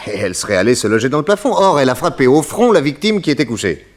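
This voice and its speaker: An actor playing a calm, methodical male detective in a radio serial, reassuring and measured as he explains. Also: medium pitch. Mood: assured, reasoning.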